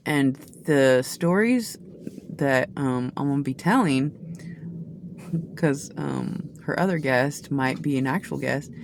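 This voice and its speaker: A woman's voice: extremely low pitch, takes it slowly, noisy background. A woman giving a casual tarot reading, talking in a rambling, chatty way with many restarts and asides. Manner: says she has a loud voice. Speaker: tarot reader.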